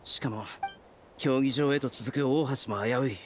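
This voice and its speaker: An actor playing a serious, brisk male soldier in a video game. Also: medium pitch, very noisy, natural voice. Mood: grave. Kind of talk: video game voice acting in Japanese.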